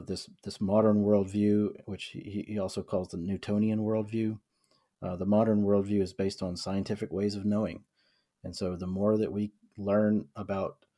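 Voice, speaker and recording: Low, mellow-voiced Southern man musing. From American South. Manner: reflective, quotes passages aloud, many fillers and restarts. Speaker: podcast co-host. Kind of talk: casual discussion of a book.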